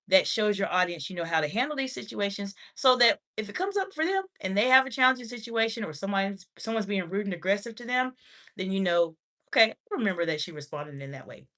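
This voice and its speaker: Bright-voiced woman coach on a livestream. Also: medium pitch. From United States. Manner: formal, encouraging, addresses viewers by name. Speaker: executive communication coach. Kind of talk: livestream talk, reading chat comments.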